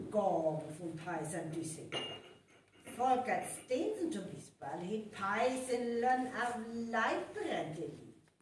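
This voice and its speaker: A woman with a North Frisian accent playing an inquisitive village woman picking over suspicions in a live radio play. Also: fairly high pitch. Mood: sleuthing, suspicious. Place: live stage performance venue. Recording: echoey live hall, distant mic, faint noise.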